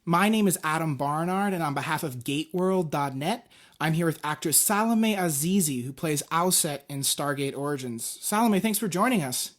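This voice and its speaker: A man's voice: a little high, lively intonation, normal speed. A man hosting an interview, asking friendly, rambling questions with restarts. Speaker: interviewer, host.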